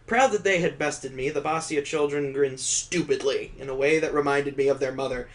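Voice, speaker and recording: Guy doing a let's play, slightly high voice, reads the story then cracks sarcastic asides. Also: moderate pace. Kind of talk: reading a visual novel aloud with joking commentary.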